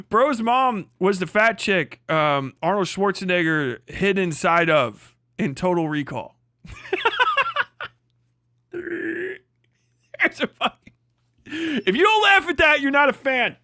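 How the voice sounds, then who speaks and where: A man with a high, bright, forceful voice, recorded close and dry. He hosts a livestream, riffing crudely on what he watches with heavy swearing and an exclamatory, mocking delivery. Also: expressive, slow pace, pauses often, no room sound. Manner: often breaks into laughter at own riffs. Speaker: livestream host. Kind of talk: mocking, profane reaction commentary on a video.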